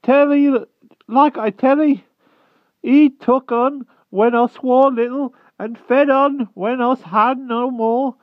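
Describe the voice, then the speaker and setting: A man's voice: very high-pitched, fairly even intonation, slow, no room sound, voice pushed up. A man voicing a timid old male hedgehog who pleads in a thick rustic country dialect. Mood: pleading. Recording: tinny phone-like dry sound.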